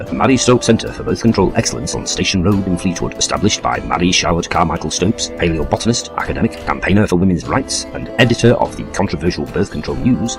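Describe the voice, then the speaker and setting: A man playing a pompous, wry, self-aware storyteller narrating a comic audio drama. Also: sits low. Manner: mock-grand, wry.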